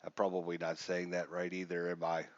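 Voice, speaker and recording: A man's voice: low-pitched, monotone, brisk, no room sound. Stuffy-nosed man hosting a game stream. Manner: casual, chatty with frequent fillers. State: stuffy, congested. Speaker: live stream host. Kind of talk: live wargame walkthrough. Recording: dull, dry lo-fi stream audio.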